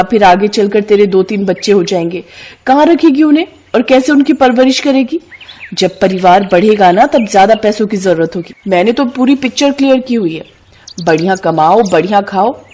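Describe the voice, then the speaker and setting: A woman's voice: medium pitch, lively intonation, moderate pace, warm tone, lightly acted. A woman performs a greedy, bossy young city woman for a cartoon moral tale. She scolds and coaxes in a pushy, persuasive way, like a best friend with a scheme. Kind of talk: cartoon moral story dialogue.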